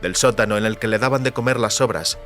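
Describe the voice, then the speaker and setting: A man narrating an audiobook in a measured, literary storytelling manner. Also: lowish voice, a bit bright, soft background music.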